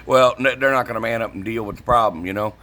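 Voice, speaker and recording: A middle-aged American man speaks in a bright, forceful voice at an ordinary male pitch. He rants in a casual, opinionated way, blunt and profane, hammering his points by saying them again. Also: fast talker. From northern United States. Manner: blunt, profane, emphatic, repeats himself. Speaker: CB radio shop vlogger.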